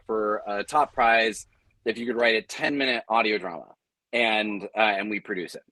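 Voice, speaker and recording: Bright, dry-sounding man telling anecdotes. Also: mid-range voice, no room sound, rough recording. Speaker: panelist, audio drama producer and company founder. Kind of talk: casual, anecdotal panel talk.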